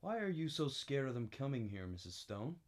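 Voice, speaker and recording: A man playing a world-weary, wry private detective, deadpan, narrating a noir radio drama. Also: mid-range voice, fairly quick, natural voice. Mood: curt, questioning. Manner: deadpan, hardboiled. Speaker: narrator and lead actor.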